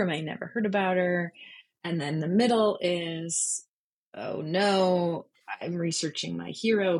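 A woman with a slightly husky voice, speaking thoughtfully and informally as an interview guest. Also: on the low side, darkish tone. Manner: thoughtful, informal, explains step by step. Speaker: podcast guest, freelance journalist. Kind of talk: reflective interview conversation.

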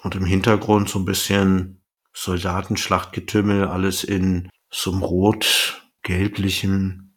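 A young man from Germany co-hosts a relaxed podcast chat. His voice sits a little low, and he reads out names and trivia in a talkative, rambling way. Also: unhurried. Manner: chatty, reads out cast and actor facts, drifts off topic. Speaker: podcast co-host. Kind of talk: casual chat about an audio drama.

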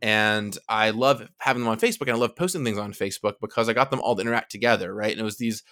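A man's voice: lowish voice, racing, fairly bright tone, dry recording, studio quality. A man in his thirties, a podcast guest telling stories in a chatty, joking way. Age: 30s. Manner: chatty, jokey storyteller. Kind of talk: casual chat. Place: Seattle.

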